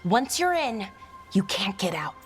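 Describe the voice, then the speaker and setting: An actress playing a young, naive elf researcher in a video game, excitable and halting in manner. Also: female voice, medium pitch, faint music behind. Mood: explaining eagerly. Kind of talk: video game character acting.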